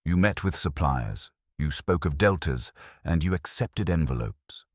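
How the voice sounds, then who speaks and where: A man narrating plays a stern detective genius with an extremely low, husky voice. He speaks pompously and formally, laying out accusations with lively swings of pitch in an audiobook mystery. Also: measured pace, no echo, clean studio sound, full character voice. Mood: accusing, commanding. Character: stern, imperious male detective genius passing judgment.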